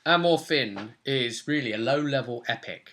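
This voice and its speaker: A man's voice: mid-range voice, normal speed, pushed and bright, a little hiss or hum. A man in his twenties casually recapping a tabletop role-play session as game master, recorded on a webcam in a room at home. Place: small domestic room indoors.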